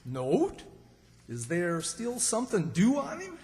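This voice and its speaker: An actor plays a good-natured, slow-witted country man in a radio comedy. His voice sits fairly high and swings up and down at an unhurried pace, ringing in a reverberant hall. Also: wildly swooping pitch, a little mellow, heavily acted. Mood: earnest, clueless. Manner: folksy, slow-witted, earnest. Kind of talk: old-time radio comedy acting. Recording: reverberant hall stage recording.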